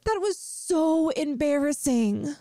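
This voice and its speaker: A woman's voice: high voice, expressive, slow pace, dark tone, dry recording, clean studio sound, full character voice. A woman playing a bubbly, inept, lovestruck young yandere student in an audio roleplay, rambling and pleading dramatically with the listener. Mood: pleading, coaxing. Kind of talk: scripted ASMR audio roleplay, addressing the listener.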